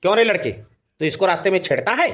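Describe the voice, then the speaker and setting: A man plays an officious cartoon village policeman with a high-pitched voice. He questions and lectures people, though in a fairly reasonable way. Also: sing-song, fast talker, a bit bright, exaggerated voice. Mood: questioning. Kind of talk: cartoon comedy dialogue.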